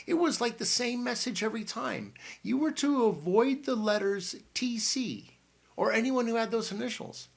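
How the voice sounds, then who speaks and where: A man's voice: very high voice, expressive, moderate pace, vocal fry, voice pushed up, exaggerated voice. A man reading an audiobook and voicing a fussy, flustered middle-aged aunt who rambles breathlessly. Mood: chatty.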